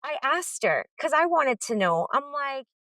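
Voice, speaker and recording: Young woman from lebanon telling stories, lively and expressive, voice jumps around, close dry sound. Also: a little slow, no room sound. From northern Lebanon. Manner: expressive, acts out dialogue with sudden pitch jumps. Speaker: middle school teacher, podcast guest. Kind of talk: animated storytelling in conversation.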